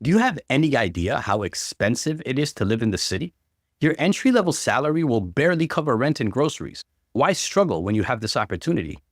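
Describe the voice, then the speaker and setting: A man's voice: medium pitch, big pitch swings, fast talker, dry recording, clean studio sound. A man voicing a stern, cold middle-aged father in a story, firm and commanding.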